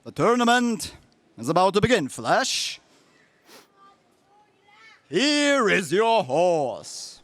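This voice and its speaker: A man's voice: high voice, big pitch swings, slow, long pauses, a bit bright, dry recording, full character voice. An actor playing a boisterous, grandiose king of hawk people in a space serial radio play. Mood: solemn, proud. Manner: grandiose, declamatory.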